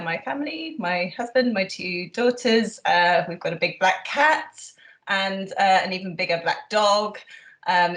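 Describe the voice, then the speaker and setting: Lady historian, lots of ums and uhs, thinks out loud, roomy echo. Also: mid-range voice. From Yorkshire, England. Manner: thoughtful, hesitant with many ums. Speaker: guest historian. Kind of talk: relaxed interview answers. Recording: echoey room.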